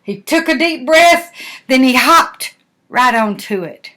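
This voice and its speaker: A woman's voice: sits high, animated, slow, sharp tone. An older woman, a grandmother, reading a storybook aloud with folksy, chatty asides and questions to the listener.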